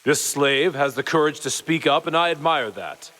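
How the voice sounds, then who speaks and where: An actor playing a proud, commanding Roman officer who speaks formally and thoughtfully in a Bible audio drama. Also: male voice, a little high, fairly bright tone, lightly acted. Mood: curious, measured. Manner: formal, stately, measured.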